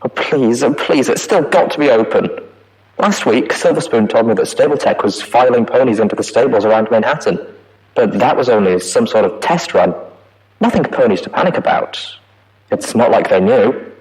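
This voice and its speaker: A man's voice: medium pitch, lively intonation, moderate pace, a little mellow, pitched above the performer's own voice, natural voice, rough recording. A man reading an audiobook in the voice of a frightened young filly pony, anxious and stammering. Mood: panicked.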